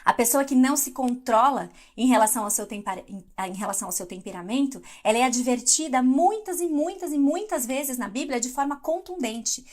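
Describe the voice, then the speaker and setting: A woman's voice: high voice, expressive, a little fast, hardly any pauses, a little mellow. A middle-aged woman from Brazil giving a conversational live devotional talk, reacting to viewer comments as she goes. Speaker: devotional speaker.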